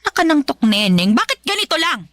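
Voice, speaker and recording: Shrill, scolding Filipino mother. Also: very animated, fast talker, slight background noise. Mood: irritated, demanding. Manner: scolding, sharp-tongued, insulting. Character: harsh, belittling, nagging Filipino mother favouring her son. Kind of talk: radio drama acting.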